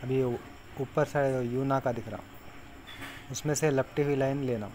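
A man's voice: mid-range voice, fairly even intonation, normal speed. A man casually explaining step by step how to tie fishing hooks while he works. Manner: plain instructive, talks while tying knots. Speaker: fishing tutorial maker. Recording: clear background insect noise.

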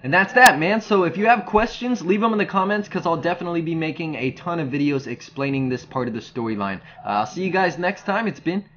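A man's voice: a little high, animated, fast talker, hardly any pauses, fairly bright tone, lo-fi sound. A young man hosting a gaming video, giving casual, enthusiastic commentary. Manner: enthusiastic, hyped.

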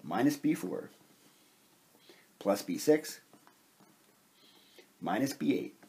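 A man with a slightly husky voice giving a methodical, step-by-step explanation as a science instructor at a whiteboard. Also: medium pitch, sing-song, slow, dark tone. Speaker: chemistry instructor.

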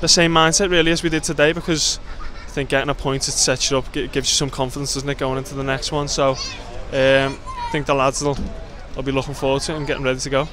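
Footballer, male, twenties: medium pitch, casual interview delivery, faint background noise outdoors. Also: little pitch movement, quick pace, few pauses, a bit bright. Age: 20s. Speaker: professional footballer. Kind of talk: casual post-match interview answers. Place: outdoors in a stadium car park. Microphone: handheld interview microphone.

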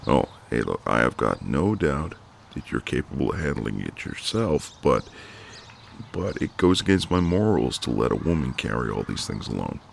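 A man playing a friendly, gallant, gently teasing ex-marine neighbour in an intimate, easygoing one-sided roleplay. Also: a bass voice, sing-song, moderate pace, gravelly, a little hiss or hum, full character voice. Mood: gently teasing, insistent. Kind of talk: intimate ASMR roleplay, one side of a conversation.

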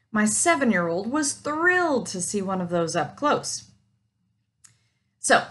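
A woman's voice: fairly high pitch, a bit bright. A woman in her forties reading a prepared campaign speech with dry jokes, recorded on a webcam in a home office. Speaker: party treasurer candidate. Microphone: webcam at desk.

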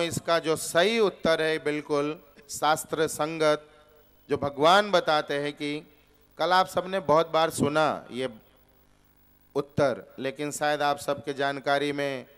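A man in his forties preaches to a seated congregation in a temple hall, speaking into a stand microphone. His voice is smooth, resonant and set a little high, and he takes his time. He poses question after question and answers them patiently. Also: fairly bright tone. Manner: slow, deliberate teaching with rhetorical questions. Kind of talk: Gita discourse.

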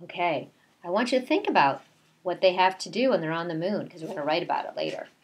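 Low-pitched woman reading a picture book. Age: middle-aged. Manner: deliberate, expressive teacherly reading. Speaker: kindergarten teacher reading story time. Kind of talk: read-aloud picture book with asides to children. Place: small bedroom at home, indoors.

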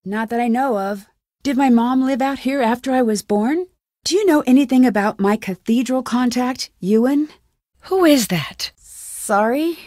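An inquisitive young female sleuth in a video game. Her voice sits fairly high and she speaks earnestly and clearly, with determination. The recording is dry and close, like studio game dialogue. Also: lively intonation, measured pace, darkish tone, no echo. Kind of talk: scripted game dialogue, isolated lines.

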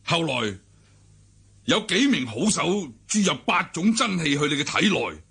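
An actor with a Hong Kong accent playing a domineering, cunning older cult leader in a radio drama, theatrical and raspy. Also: male voice, on the high side, big pitch swings, a little slow, sharp tone, exaggerated voice. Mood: explaining. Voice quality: raspy, gravelly. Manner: theatrical, commanding. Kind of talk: Cantonese radio drama acting.

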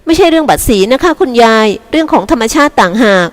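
A woman's voice: sits high, lively intonation, fast, long reverb tail, pitched above the performer's own voice. Woman playing a cheerful older sister, confident, breezy, reassuring, voice raised a little above the narration. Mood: earnest.

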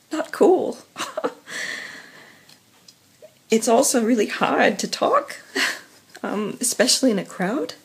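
Woman, young adult, husky breathy weakened voice, slow halting talk, many fillers, phone at arm's length. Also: on the high side, big pitch swings. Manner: halting, many fillers and pauses, soft laughs. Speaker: professional singer vlogging. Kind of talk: casual personal vlog. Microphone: phone camera at arm's length.

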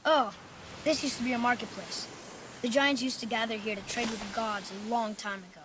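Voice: mid-range voice, fairly even intonation, a little fast, background noise, natural voice. A young performer playing an eager boy, a warrior's son anxious to prove himself, in a video game. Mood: curious. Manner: youthful, eager, inquisitive. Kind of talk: video game character acting.

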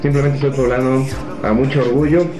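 A sixteen-year-old Mexican boy from Puebla, talking softly and hesitantly into a webcam in a small office, with many fillers. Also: mid-range voice, flat delivery, fairly quick. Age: teens. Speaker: young reggaeton artist. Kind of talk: self-interview to webcam. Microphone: webcam at desk. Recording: echoey room with music behind.

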